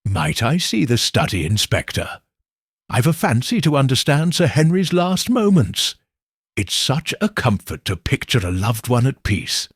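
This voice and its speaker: Male reader as genteel old lady detective: soft-spoken, harmless-seeming, slow, lilting delivery. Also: mid-range voice, big pitch swings, breathy edge, no echo, studio quality. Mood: mild, curious. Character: gentle, sharp-witted elderly lady sleuth, deceptively harmless.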